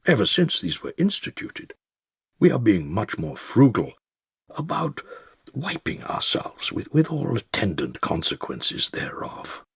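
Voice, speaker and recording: An actor playing an elderly male care-home resident with a British accent, narrating his secret diary in a dry, wry, measured and articulate way with a gravelly, husky voice. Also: fairly low pitch, wildly swooping pitch, no echo, heavily acted. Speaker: audiobook narrator. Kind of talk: scripted first-person diary narration.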